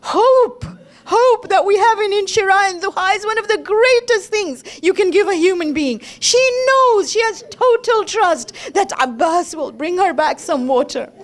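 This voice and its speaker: A very high-pitched woman's voice, tearful and breaking, delivers a religious lament. She tells the story slowly and with deliberate weight, dramatic and full of grief. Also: expressive. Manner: dramatic, emotive storytelling, acting out quoted speech. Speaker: religious lecturer. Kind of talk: mournful religious narration, lament sermon.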